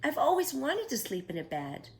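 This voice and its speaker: A little toy bear boy, earnest and wide-eyed, as a storytime reader puts him on. His voice sits high and lifts eagerly. He sounds amazed at every new thing he finds in the storybook. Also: huge pitch swings, normal speed, exaggerated voice.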